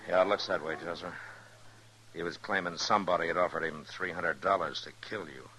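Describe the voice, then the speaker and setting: An American actor playing a stern, steady frontier marshal with terse authority in an old radio western. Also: male voice, low voice, a little fast. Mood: grave. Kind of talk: 1950s radio western acting. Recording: very noisy old radio recording.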